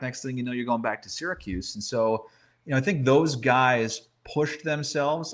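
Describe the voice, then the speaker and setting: A man with a medium-pitched voice talks casually as a baseball analyst. He thinks aloud in a conversational way, working through options and putting rhetorical questions as he goes. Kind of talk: casual sports talk, roster preview.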